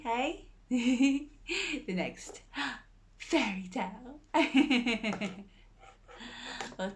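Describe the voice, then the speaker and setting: A woman reading a bedtime fairy tale aloud and chatting gently with young listeners between lines. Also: mid-range voice. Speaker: storyteller.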